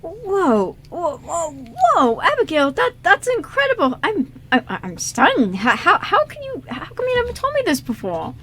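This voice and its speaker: A woman's voice: very high-pitched, wildly swooping pitch, normal speed, hardly any pauses, fairly bright tone, exaggerated voice. A woman voicing a nervous teenage boy with a crush in an amateur radio play, stammering and flustered. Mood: amazed, excited. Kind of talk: amateur radio script reading.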